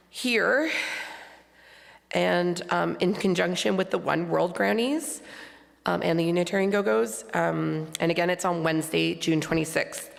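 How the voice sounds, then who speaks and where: Woman, hoarse and heavily gravelly, lowish pitch, casual announcer at a congregation gathering. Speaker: congregation board member making announcements. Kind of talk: informal spoken church announcements. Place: large church sanctuary. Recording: long hall reverb from church sound system.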